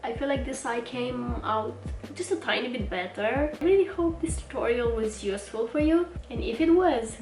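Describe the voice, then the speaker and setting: Woman, young adult, makeup tutorial host; slightly stuffy from a cold, higher voice, roomy distant mic with music underneath. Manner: chatty, talks while working at a mirror. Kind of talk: casual step-by-step makeup tutorial. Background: background music.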